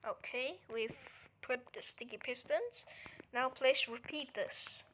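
A woman talks a viewer through a building tutorial at an unhurried pace. Her voice sits on the high side, and she counts out each item as she places it. A faint hiss of noise runs under the recording. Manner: counts steps aloud, checks in with "alright?". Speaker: tutorial creator. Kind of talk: casual game tutorial walkthrough.

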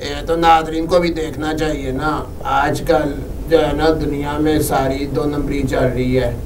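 A man's voice: fairly high pitch, flat delivery, a little slow, lightly acted. A man voicing a talkative, whiny female cow in a comic puppet show, protesting and repeating herself. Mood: stubborn, aggrieved. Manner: repetitive, protesting. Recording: distant, echoey studio with clear noise.

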